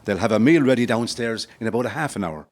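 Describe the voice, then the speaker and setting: A man's voice: medium pitch, animated, fast talker, a little mellow, lightly acted. An actor playing an encouraging rebel officer addressing his men in an audio play. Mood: approving, brisk.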